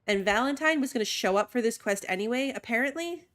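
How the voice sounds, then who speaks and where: A woman's voice: on the high side, expressive, fast talker, fairly bright tone. A woman streaming a video game, chatting casually and rambling with lots of "like". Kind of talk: casual gameplay commentary.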